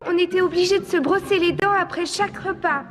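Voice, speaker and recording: A woman's voice: extremely high pitch, fairly even intonation, fairly quick, in a large hall. A woman voicing a timid, worried schoolgirl in a French cartoon dub, prim and anxious. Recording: music playing behind the voice.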